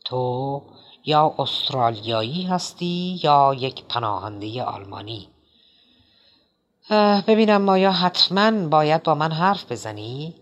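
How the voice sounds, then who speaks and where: Brief: male reader voices a nervy, mocking older man, pitched up, pleading and indignant. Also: sing-song, a bit bright, lo-fi sound. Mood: sneering. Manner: jittery, high-strung, wheedling. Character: rattled eccentric middle-aged man, pleading and mocking. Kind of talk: audiobook character voice.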